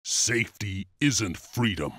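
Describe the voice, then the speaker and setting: An actor playing a grim, resolute clan leader in a fantasy game, speaking solemnly and with determination. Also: male voice, on the low side, expressive, takes it slowly, fairly bright tone, dry recording, full character voice. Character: grim, resolute male wolf clan leader and rebel hero. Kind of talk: dramatic fantasy game voice acting.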